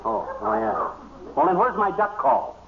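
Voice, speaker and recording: A man's voice: mid-range voice, big pitch swings, moderate pace, lightly acted. A man playing a blustering, bragging, scatterbrained American husband with a folksy, grumbling way of talking in an old radio comedy. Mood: flustered, grumbling. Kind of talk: 1930s-40s American radio comedy acting. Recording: muffled old radio broadcast, heavy noise.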